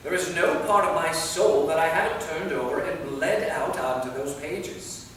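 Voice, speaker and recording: A man's voice: on the high side, normal speed, a bit bright, close to an everyday voice. A man acting an anxious, earnest, centuries-old vampire knight in a live staged play reading. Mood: earnest, pleading. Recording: echoey hall from far off, faint noise.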